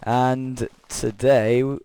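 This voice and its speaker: A young man with a croaky voice from being unwell, rambling through gameplay commentary and a story, correcting himself often with chuckling asides. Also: medium pitch, flat delivery, very slow, warm tone. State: croaky voice from illness. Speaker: Minecraft let's player.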